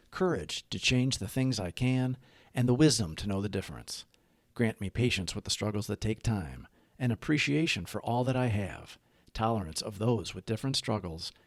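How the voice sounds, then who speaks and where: A man reads a scripted devotional reflection as the host of a recovery channel. His mid-pitched voice rises and falls in a lively, animated way. The sound is dry and close, with very little room around it. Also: dry recording.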